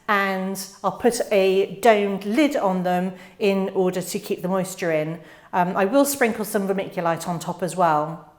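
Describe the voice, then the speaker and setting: Chatty British woman explaining gardening. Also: mid-range voice. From United Kingdom. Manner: friendly, chatty, with fillers and light laughs. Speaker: gardening channel host. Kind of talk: casual instructional voice-over.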